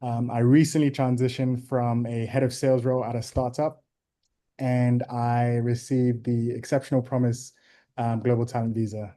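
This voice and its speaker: A young man from Ghana, a guest on a video call interview, answering in a measured, thoughtful way with frequent ums. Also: mid-range voice, little pitch movement, warm tone.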